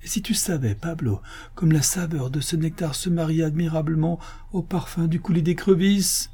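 Give me a man playing a sentimental, food-loving Spanish canon from a storybook, effusive, in a raised and husky voice. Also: fairly high pitch, dark tone, voice pushed up. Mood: rapturous. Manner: effusive, drawn-out exclamations of delight.

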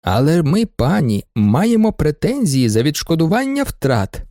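A man's voice: on the high side, sing-song, measured pace, dark tone, dry recording, clean studio sound. A man reading an audiobook, voicing an insolent young student who explains himself with mock courtesy. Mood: mock-polite. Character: insolent young male student, smooth talker. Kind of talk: audiobook character voice.

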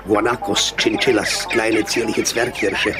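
A man's voice: on the low side, level tone, measured pace, over music. A man giving literary, descriptive narration for a radio feature.

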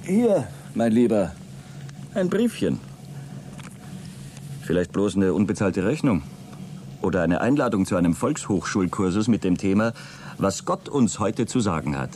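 An actor playing a cynical, fatalistic astronaut in a German radio play, speaking in a sardonic, taunting, theatrical way. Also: male voice, fairly low pitch, big pitch swings, unhurried, long pauses, warm tone, a little hiss or hum. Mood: mocking, bitter. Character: astronaut Albert, cynical, fatalistic, mocking space crewman.

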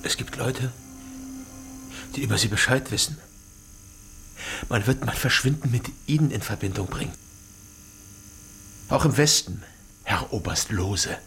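A man's voice: medium pitch, a little slow, pauses often, a bit bright, slight background noise. An actor playing an uneasy, defiant captive in a radio drama, urgently challenging his captor with questions.

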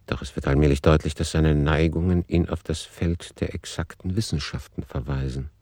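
Male radio play narrator: deep register, factual, analytical, no room sound. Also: steady tone, normal speed, darkish tone, no room sound.

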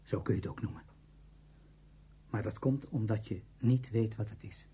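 A cruel, brooding man in a radio drama. His voice is very husky and dark, on the low side, and he speaks deliberately, as if guarding a secret. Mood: guarded, confessional. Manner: deliberate, halting when confessing. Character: cruel, self-absorbed male conductor with a secret, confiding. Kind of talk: Dutch radio play acting.

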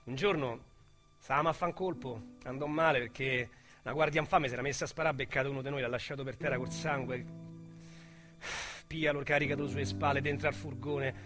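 A man's voice: mid-range voice, fairly even intonation, brisk, music in the background, natural voice. A man playing a rueful Roman crook. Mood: wistful. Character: rueful small-time male crook confessing. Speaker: narrator-protagonist. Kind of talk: radio drama monologue.

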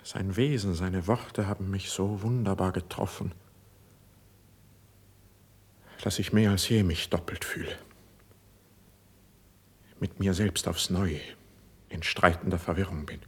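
Troubled poet, low husky voice. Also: male voice, fairly even intonation, unhurried. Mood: troubled, hesitant. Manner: fervent, lyrical, declamatory. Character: troubled young male poet, devoted, self-doubting, passionate. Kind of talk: classical verse radio drama.

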